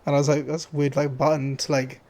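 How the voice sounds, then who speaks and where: A hoarse man streaming a game, chatting casually and rambling with many fillers and restarts. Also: a little high, little pitch movement, fast, darkish tone. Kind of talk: casual gameplay commentary.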